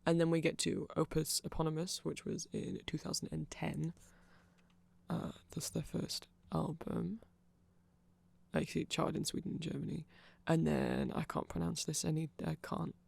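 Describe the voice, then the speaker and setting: Rambling man with a very creaky, gravelly voice. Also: on the high side, steady tone, normal speed. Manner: rambling, nerdy, self-correcting, checks in with "right?". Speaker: ASMR creator. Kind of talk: rambling ASMR explainer.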